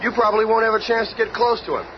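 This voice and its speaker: Higher-pitched, cocky young hero played by a man. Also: very fast, lightly acted. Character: eager, cocky youth, hot-headed young hero. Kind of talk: 1970s radio drama acting. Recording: muffled, noisy 1970s radio recording.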